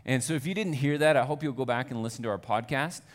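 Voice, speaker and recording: A man's voice: medium pitch, fast. Conversational man preaching a sermon. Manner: conversational, self-deprecating, rising to earnest fervor. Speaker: pastor. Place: church.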